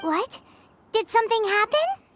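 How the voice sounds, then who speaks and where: A woman voicing a tiny, excitable, cheeky fairy girl companion in an anime video game, with a squeaky childlike voice. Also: a little slow, over music, heavily acted. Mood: surprised, concerned. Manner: exclamatory, refers to self in third person.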